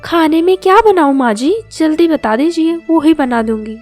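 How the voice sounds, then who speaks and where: A woman's voice: high-pitched, moderate pace, a little mellow, pitched above the performer's own voice, lightly acted. A woman puts on a young daughter-in-law from a storybook tale. She sounds sweet, obliging and eager to please. Light music runs underneath. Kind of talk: storybook cartoon dialogue.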